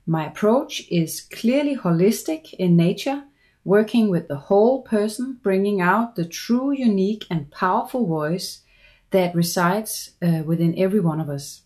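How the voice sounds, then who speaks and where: A middle-aged woman from Denmark with a Danish accent, a voice coach giving an earnest, measured promotional pitch for her seminar. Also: animated, mellow. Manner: measured, earnest, persuasive delivery.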